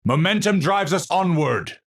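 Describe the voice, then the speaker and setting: An actor playing an eccentric, unstable Dutch astrophysicist in a video game, learned and grandiose, with theatrical, professorial diction. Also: male voice, high-pitched, lively intonation, heavily acted. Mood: urgent, commanding. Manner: theatrical, professorial, slow and deliberate wording.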